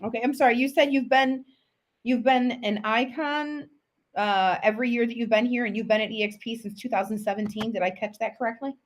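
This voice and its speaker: A middle-aged woman hosts a panel discussion in a relaxed, conversational way. She fills the gaps with ums and uhs and keeps things loose and friendly. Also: on the high side, fairly quick. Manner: casual, filler-heavy, friendly asides. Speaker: moderator. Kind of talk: hosting a virtual panel.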